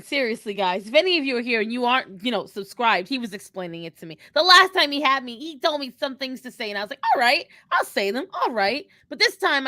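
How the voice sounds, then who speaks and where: A brash young woman, as an actress plays her in character for a live Q&A, speaks in a bright, forceful voice pitched on the high side. She is sassy and confrontational, slangy and quick to swear, with a very lively melody. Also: no echo. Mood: pushy, sassy. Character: brash, foul-mouthed young redhead woman with bossy sass.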